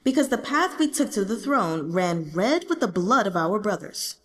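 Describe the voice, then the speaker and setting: A woman's voice: medium pitch, big pitch swings, normal speed, long reverb tail, lightly acted. A woman voicing a self-mocking, burdened young emperor in an audiobook, formal and solemn, with regal phrasing. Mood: bitter. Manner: formal, solemn, speaks in the royal we. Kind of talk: audiobook character dialogue.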